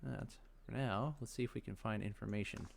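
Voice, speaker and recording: A man's voice: on the low side, fairly quick, vocal fry. A man hosting a let's play, giving casual commentary on a game as he plays.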